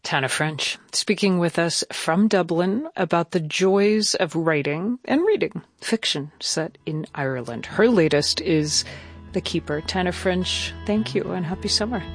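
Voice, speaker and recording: A woman's voice: on the low side, expressive, measured pace, dry recording, soft background music. Woman radio interviewer, dry studio sound. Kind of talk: conversational broadcast interview.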